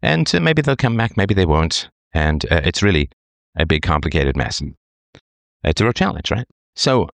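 A middle-aged man hosting a talk show, working through his argument in a loose, conversational monologue with frequent "right?" tags. His voice sits very low, and it is recorded dry and close with no room sound. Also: no room sound. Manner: conversational, tag questions like "right?". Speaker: host reading a listener's letter. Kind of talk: monologue, reading and commenting.

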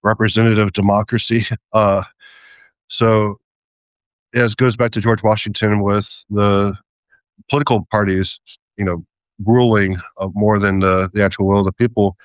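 A man with a smooth, low voice chats with the host, hesitant and full of ums and little laughs. He agrees easily before rambling through his point. The recording is dry and close. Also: level tone, moderate pace, no echo. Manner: hesitant, many ums and restarts, light laughs. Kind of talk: casual conversation.

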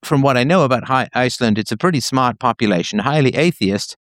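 A man's voice: mid-range voice, expressive, fast talker, a bit bright, no room sound, studio quality. A man hosting a conversation, questioning his guest closely and pressing with logical follow-ups. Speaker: host interviewing a caller. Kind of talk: probing conversational interview.

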